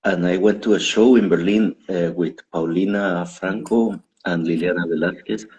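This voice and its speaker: A man's voice: deep voice, steady tone, normal speed, darkish tone, low-quality recording. A man from Guatemala, a stand-up comedian, chatting casually and self-deprecatingly as an interview guest. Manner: rambling, self-deprecating, laughs often.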